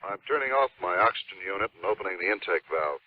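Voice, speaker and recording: An actor playing a dutiful astronaut scout with a husky voice in a 1950s radio drama. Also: male voice, sits low, animated, quick pace. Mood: alert, tense. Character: dutiful astronaut scout reporting to his commander. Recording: muffled vintage 1950s radio.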